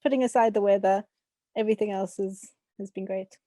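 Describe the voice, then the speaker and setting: A young woman with a South African accent answers questions as an author in a relaxed interview. Her tone is dark and mellow at a medium pitch. The sound is dry, with very little room in it. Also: fairly quick, no echo. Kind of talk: casual interview talk.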